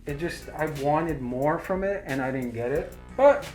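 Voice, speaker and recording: A man's voice: a little high, animated, normal speed, a little mellow. A man co-hosting a casual review discussion in a blunt, slangy way, swearing freely. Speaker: review show co-host. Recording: roomy echo with music underneath.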